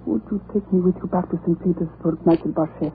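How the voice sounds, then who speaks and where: A woman's voice: fairly low pitch, steady tone, fast, phone-quality sound, lightly acted. An actress playing a seductive, scheming woman in a 1930s radio horror drama, coaxing and pleading as she talks. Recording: thin, noisy 1930s radio recording.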